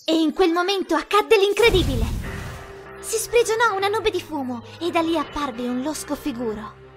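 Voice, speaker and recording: A woman voicing a storybook narrator in an anime fandub, telling the tale in a measured storytelling manner. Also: very high-pitched, faint music behind. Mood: narrating. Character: storybook narrator of a children's stage play.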